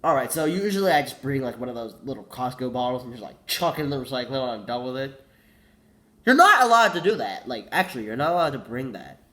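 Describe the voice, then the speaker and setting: A man's voice: medium pitch, sing-song, quick pace, pushed and bright. A teenage boy with a cold, congested and coughing, rambling casually and correcting himself, saying "like" a lot. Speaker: vlogger.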